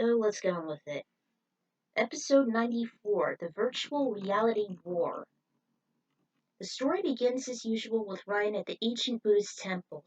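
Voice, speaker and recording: A woman narrates her own fan story in an amateur recording. Her voice sits at a medium pitch and a bit back from the mic. She delivers it with drama and strong opinions. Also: darkish tone, far from the mic, rough recording. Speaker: podfic narrator. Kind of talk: amateur fan fiction reading with commentary.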